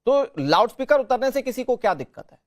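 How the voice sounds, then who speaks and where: A man's voice: very high-pitched, sing-song, fast. A man presenting TV news and moderating a debate as anchor. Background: faint music.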